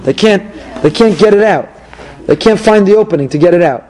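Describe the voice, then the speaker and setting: Animated high-voiced rabbi teaching. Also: male voice, a little fast, a little hiss or hum. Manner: animated, questions the audience, repeats key phrases. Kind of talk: Torah class lecture.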